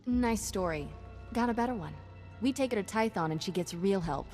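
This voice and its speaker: An actress playing a sassy, cocky young Jedi sidekick in a video game, with wry, clipped banter. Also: female voice, medium pitch, expressive, moderate pace, a little mellow, long reverb tail, faint music behind, lightly acted. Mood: firm.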